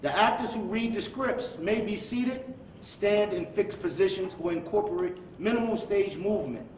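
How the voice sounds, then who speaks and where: In an echoey, somewhat distant recording with faint noise, a man speaks as an event host. His voice is high for a man, and he delivers a formal introduction to a live audience. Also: normal speed.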